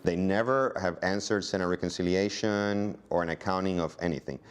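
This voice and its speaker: A man's voice: deep voice, a little fast, clear, resonant tone. A man speaking as an interviewee in a news story, a family caregiver giving his account.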